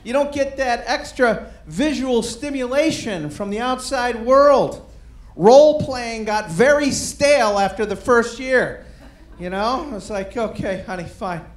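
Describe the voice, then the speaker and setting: A middle-aged man doing a stand-up comedy set on a club stage, deadpan and self-deprecating, with rambling asides. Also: very high voice, measured pace. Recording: roomy live club with crowd noise. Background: audience murmur and laughter.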